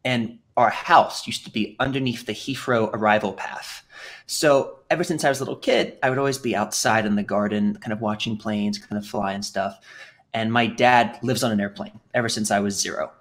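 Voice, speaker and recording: Brief: young man from England, podcast guest, lowish voice, loose and rambling with frequent ums. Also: normal speed, fairly bright tone. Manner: rambling, with many fillers and restarts. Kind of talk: casual conversational storytelling.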